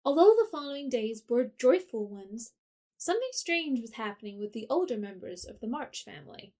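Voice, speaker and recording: A woman's voice: fairly high pitch, sing-song, normal speed, darkish tone. A woman narrating a classic story in an audio drama. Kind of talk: scripted narration.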